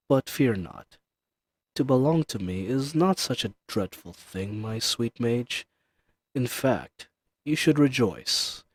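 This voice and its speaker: A man voicing an ancient dragon familiar in an intimate audio roleplay, speaking formally, possessive and seductive, condescending yet soothing. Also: lowish voice. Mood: haughty, possessive. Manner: formal, archaic diction, soothing and teasing. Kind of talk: intimate scripted roleplay audio addressed to the listener.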